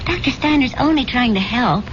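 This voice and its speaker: A woman's voice: a little high, expressive, moderate pace, close to an everyday voice. An actress playing a worried, pleading woman in a 1970s radio drama, anxious and placating. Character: worried, pleading woman minding a hostile man. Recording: noisy lo-fi vintage radio broadcast.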